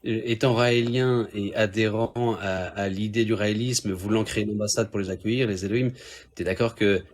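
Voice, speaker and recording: A man's voice: lowish voice, fairly even intonation, normal speed, a little hiss or hum. Hesitant man defending his beliefs. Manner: hesitant, many restarts and fillers, defensive. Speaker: Raëlian guest in a debate. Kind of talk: casual live debate.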